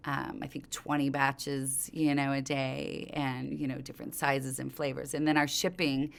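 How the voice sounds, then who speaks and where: A middle-aged woman with a low, smooth, clear voice, talking as a business founder in an interview. She speaks conversationally, telling things informally and looping back to earlier thoughts as she goes. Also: flat delivery, moderate pace. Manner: informal, rambling, circles back mid-thought. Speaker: company co-founder being interviewed.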